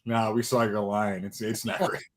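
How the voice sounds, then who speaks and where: A man's voice: on the low side, steady tone, normal speed. A man co-hosting a movie talk show in casual banter, with a teasing, playful way of talking.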